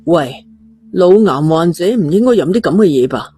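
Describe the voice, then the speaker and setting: Man playing blunt, slangy doctor for audio drama; Hong Kong Cantonese accent, exasperated yet concerned, mellow husky voice. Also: a little high, expressive, normal speed. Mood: stern. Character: brash, foul-mouthed male doctor, exasperated but concerned.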